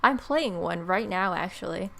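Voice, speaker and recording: A young woman hosts a let's play, reading a visual novel aloud. Her voice is mid-pitched, and she slips in wry, playful asides. There is faint noise in the background. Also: expressive, normal speed, creaky, bright, forceful tone. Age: young adult.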